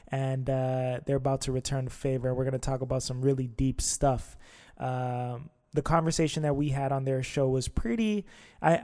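Mellow-toned man hosting in a reverberant room. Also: medium pitch. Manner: relaxed, rambling with frequent filler words. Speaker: podcast host. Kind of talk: casual spoken show intro.

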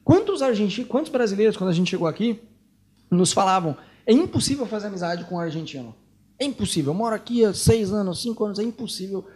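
A man's voice: sits high, animated, fast, a little mellow. A Brazilian man with a Brazilian Portuguese accent who hosts a missionary talk show, speaking emphatically and laughing often. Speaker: missionary host.

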